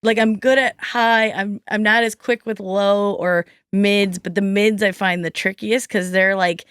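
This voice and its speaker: A woman's voice: medium pitch, fairly even intonation, a little slow, a bit bright, clear, resonant tone, no room sound. A chatty woman in her thirties, talking freely as a podcast guest. She fills her talk with likes and ums and slips into little voices for quoted people. The sound is close and dry, with no room around it. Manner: chatty, many fillers, acts out quoted voices. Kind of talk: casual interview chat.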